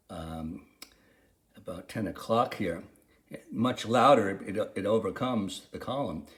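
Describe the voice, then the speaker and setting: A man's voice: mid-range voice, a little mellow. A man giving a casual, conversational product review and demonstration, feeling his way through sentences and correcting himself.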